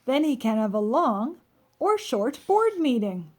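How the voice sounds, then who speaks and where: A woman's voice: high voice, big pitch swings, unhurried, darkish tone, exaggerated voice. A woman voicing a cheerful, earnest, literal-minded housekeeper and babysitter from a children's storybook. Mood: cheerful, helpful.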